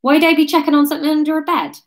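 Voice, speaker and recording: A woman voicing a flustered, defensive West Country man from a storybook in an excitable, indignant way. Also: high voice, racing, voice pushed up.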